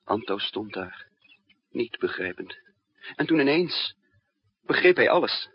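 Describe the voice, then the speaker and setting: An actor playing a weary, brooding male spy who narrates his own story with slow, deliberate drama in a 1960s radio play. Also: fairly low pitch, sing-song, slightly rough, exaggerated voice. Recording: dull, echoey old radio recording.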